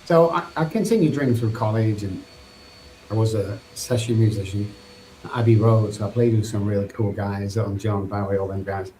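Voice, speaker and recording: A man's voice: lowish voice, fairly quick, mellow, poor audio. Mancunian man telling his recovery story. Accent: Mancunian English. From England. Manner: earnest, loose storytelling with restarts. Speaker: podcast guest. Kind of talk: candid personal recovery story. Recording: faint background noise.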